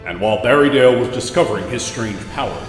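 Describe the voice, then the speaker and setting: An actor playing a grandiose, portentous announcer narrating an old-time radio superhero serial, in an echoey reverb. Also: male voice, mid-range voice, lively intonation, fast talker, lightly acted. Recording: echoey long reverb over music.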